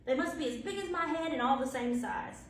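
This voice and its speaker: A homely, soft-hearted storybook husband read by a woman, speaking in plain declarations. The voice is high and sits back from the mic in a very echoey space. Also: brisk. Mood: pleased, hearty. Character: simple, soft-hearted husband fond of food. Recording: very echoey, distant, faint background noise.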